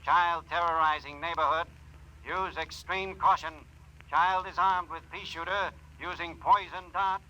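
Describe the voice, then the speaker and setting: Terse male dispatcher, high-ish even voice, official and clipped, lo-fi vintage police radio. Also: measured pace. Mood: official, urgent. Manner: flat, clipped official announcements. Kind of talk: 1950s radio drama acting.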